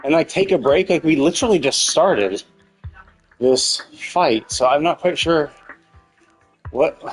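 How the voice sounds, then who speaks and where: A man's voice: fairly high pitch, lively intonation, a little slow, slightly rough, soft background music, low-quality recording. A man commentating casually on a live game stream, thinking aloud in a hesitant, rambling way and repeating himself, with casual swearing.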